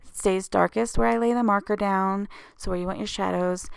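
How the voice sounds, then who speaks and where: Scratchy, creaky-voiced woman giving a craft tutorial. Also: mid-range voice, fairly even intonation, fairly quick, no echo, clean studio sound. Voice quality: slightly scratchy. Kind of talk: casual tutorial voice-over.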